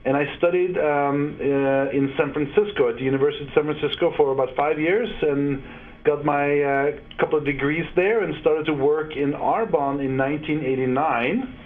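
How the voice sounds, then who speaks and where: A man, a guest on a video call who speaks haltingly with many fillers. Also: fairly high pitch. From Norway. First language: Norwegian. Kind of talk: interview over a video call. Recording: tinny internet call line.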